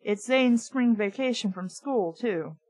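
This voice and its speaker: A woman's voice: on the high side, unhurried, darkish tone, breathy edge. A woman voicing a joking, mildly scolding father in a children's storybook, breezy and teasing. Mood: announcing.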